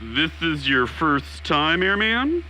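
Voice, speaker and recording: A man's voice: on the high side, big pitch swings, slow, sharp tone, a little hiss or hum, heavily acted, rough recording. A man playing a blustering military commander in a comedic radio drama, pompous, declamatory and a little folksy. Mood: commanding. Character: blustering military field commander and bomber lieutenant.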